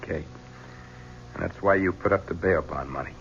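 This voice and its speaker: A man's voice: deep voice, moderate pace, fairly bright tone. An actor playing a bitter, weary man out on bail after a murder. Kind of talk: 1970s radio drama acting. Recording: dull old radio recording with faint hiss.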